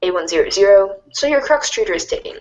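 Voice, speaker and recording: A woman's voice: mid-range voice, expressive, fast, a little mellow, lightly acted, rough recording. A woman playing a wry, erudite teenage girl who reads out a game guide in a formal, precise, dryly instructive way, as storybook narration. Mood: measured, instructive. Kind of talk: scripted read-along storybook narration.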